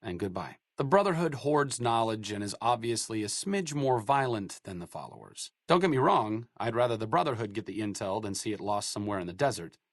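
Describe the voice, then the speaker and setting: A man's voice: lowish voice, animated, brisk, hardly any pauses, no room sound. An actor playing a dry-witted, idealistic but weary male doctor in a video game, speaking in a wry, sardonic way.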